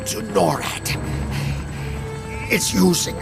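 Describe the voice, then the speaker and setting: An actor playing a smug, theatrical middle-aged villain in a video game, savouring his words in a creaky, grandiose way. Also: high voice, takes it slowly, exaggerated voice. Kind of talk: dramatic video game voice acting. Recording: loud music behind the voice.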